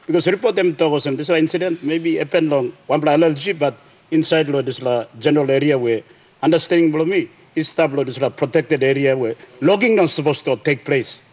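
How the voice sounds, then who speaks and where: A middle-aged Papua New Guinean man, a governor asking a question in a parliament chamber, speaking haltingly with many fillers and restarts. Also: a little high, a little mellow. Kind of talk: parliamentary question time, mixing Tok Pisin. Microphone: desk mic behind a plexiglass screen.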